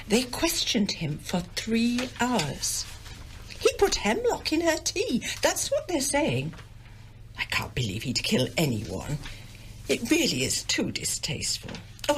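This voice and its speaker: A woman's voice: fairly low pitch, a little hiss or hum. An actress playing a domineering, self-pitying older English village mother in a radio drama, haughty and querulous. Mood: aggrieved. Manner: querulous, haughty, melodramatically aggrieved.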